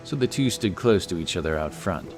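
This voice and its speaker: A man with a very low voice narrates a fantasy tale in scripted audiobook style. Clear music plays behind him throughout. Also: lively intonation, a little fast.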